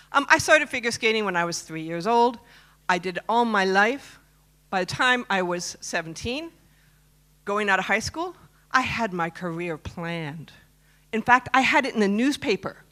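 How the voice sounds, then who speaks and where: A woman's voice: mid-range voice, sharp tone. A woman in her fifties from Canada, telling a personal story at a conference and often asking the audience questions. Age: 50s. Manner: chatty, asks the audience questions. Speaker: conference speaker. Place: conference stage.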